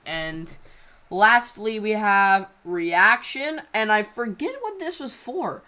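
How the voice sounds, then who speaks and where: Rambling woman with a bright voice. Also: mid-range voice, lively intonation. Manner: rambling, halting, full of fillers. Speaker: channel creator. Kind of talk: casual video commentary.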